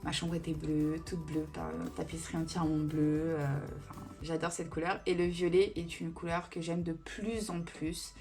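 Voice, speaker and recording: Casual beauty vlogger, woman in her thirties, lowish voice, chatty, rambles with fillers, faint music behind. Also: flat delivery, normal speed, few pauses, a little mellow. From Italian family roots. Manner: chatty and digressive, with many fillers. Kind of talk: casual Q&A chat.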